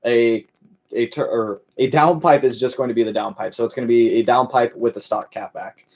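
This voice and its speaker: A man's voice: mid-range voice, steady tone, fairly quick, a little mellow. Laid-back man hosting a car Q&A. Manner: laid-back, many fillers, calls viewers "my man". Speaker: host of a car channel. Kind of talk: casual live-stream Q&A.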